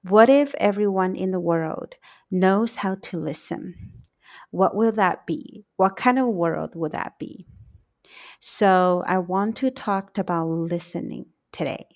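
A middle-aged woman with a Taiwanese accent hosting a solo reflective podcast, speaking in a deliberate, emphatic way. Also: fairly low pitch. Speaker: podcast host, English teacher.

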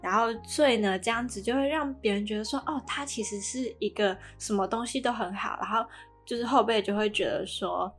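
A woman's voice: mid-range voice, a little fast, faint music behind. A woman with a Taiwanese Mandarin accent co-hosting a podcast, casually recapping a drama in a chatty, explanatory way. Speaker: podcast co-host.

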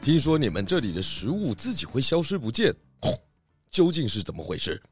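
A man's voice: mid-range voice, big pitch swings, brisk, soft background music, lightly acted. A man voicing a composed, inquisitive pig detective in a children's audio drama, reassuring and probing, with a Taiwanese Mandarin accent.

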